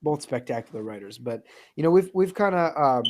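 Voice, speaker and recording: Higher-voiced man, casual podcast host. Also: animated, fairly quick, background noise. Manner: enthusiastic, swears casually, many fillers. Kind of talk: casual comics discussion.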